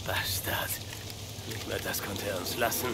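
An actor playing tense, urgent gangster men in a dramatic crime video game. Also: male voice, lowish voice, steady tone, a little slow, very noisy. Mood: grim, bitter.

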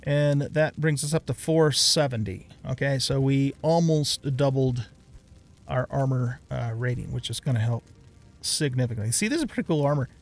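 A man's voice: medium pitch, normal speed. A middle-aged man giving casual let's play commentary, unhurriedly thinking aloud step by step.

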